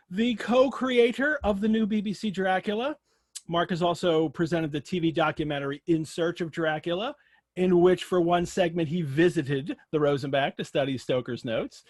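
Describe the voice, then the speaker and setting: An American man with a slightly high voice hosts a live online chat. He is effusive and jokey as he talks to his guest and audience. The sound is close and dry, with very little room in it. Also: moderate pace, dry recording. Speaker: host of an online literary show. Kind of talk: live webinar interview and casual chat. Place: video call.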